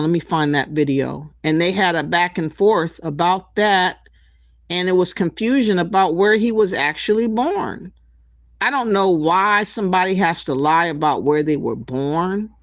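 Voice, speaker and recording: A woman host giving reaction commentary with a blunt, indignant edge. Her voice sits fairly low and she takes her time, leaning on each point. The sound is dry and close, with no room around it. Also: dry recording. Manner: blunt, indignant, slow deliberate delivery.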